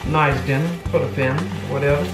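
A man's voice: fairly high pitch, steady tone. A man, chatting casually to the camera while he cooks in his home kitchen. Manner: laid-back, chatty, drawn-out filler words. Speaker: vlogger cooking at home. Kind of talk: casual cooking vlog, talking to camera. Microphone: camera on the counter, several steps away. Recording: roomy kitchen echo, distant camera mic, loud background music.

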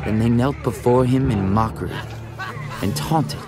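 A man narrating scripture from a script in a measured, dramatic storytelling manner. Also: mid-range voice, a loud crowd. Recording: music behind the voice.

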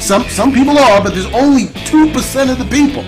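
A man's voice: fairly quick, music in the background. A man hosting an internet radio show, giving an unscripted political monologue with repeated rhetorical questions. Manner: repetitive, rhetorical "right?" tags, sweeping pitch.

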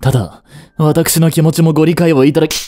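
音声ドラマで、丁寧な口調で感情の激しい、執着に狂っていく若い執事を演じる男性。. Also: male voice, on the high side, steady tone, a little fast, mellow, no echo, clean studio sound, lightly acted. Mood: pleading, hurt. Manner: formal, polite servant speech, intensely emotional. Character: devoted young male butler turning obsessive and unhinged. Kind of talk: Japanese romantic situation voice drama.

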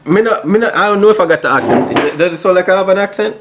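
A man's voice: high-pitched, expressive, fast, heavy background noise. A young man in his twenties with a Jamaican accent, chatting playfully with strangers on a video app in a slangy vlog. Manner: playful, slangy, drops Jamaican patois exclamations. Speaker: YouTube vlogger. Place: small home room indoors.

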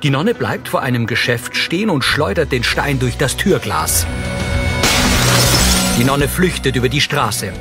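A man's voice: medium pitch, lively intonation, unhurried, loud music behind the voice. A man reading scripted audio description as a narrator.

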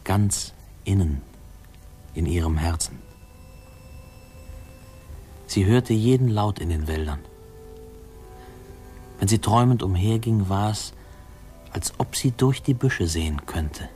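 Deep, mellow, reflective narrator. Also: male voice, expressive, slow, pauses often. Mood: tender, reflective. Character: man tenderly, thoughtfully remembering his childhood. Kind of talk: 1950s German radio play narration. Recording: old radio play, music behind.

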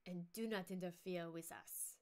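A woman's voice: fairly low pitch, fairly even intonation, moderate pace, close to an everyday voice. A woman voicing a scheming, scornful man in a read-aloud novel.

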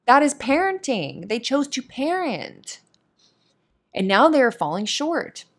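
A woman's voice: high voice, big pitch swings, unhurried. A woman giving casual, comforting advice in a monologue, speaking in an earnest, opinionated and emphatic way. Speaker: voice actor giving advice to a listener.

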